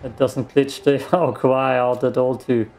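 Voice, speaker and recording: A man's voice: medium pitch, flat delivery, a little slow, creaky, darkish tone, soft background music. A man giving casual gameplay commentary, muttering to himself and given to swearing. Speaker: let's play streamer.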